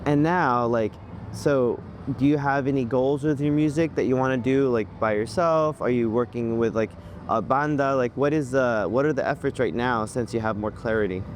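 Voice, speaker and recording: Brief: young male host, mid-range voice, relaxed and rambling, outdoor street noise behind him. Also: mid-range voice, moderate pace. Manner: relaxed, filler-heavy, agreeable. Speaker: host of a documentary series. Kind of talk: casual interview conversation.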